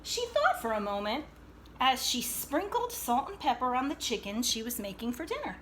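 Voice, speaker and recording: A woman reading a picture book aloud to children like a teacher, expressively, pausing to explain and check in with her young listeners. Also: sits high, sing-song, a bit bright, a little hiss or hum. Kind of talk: read-aloud storytelling with asides to young listeners.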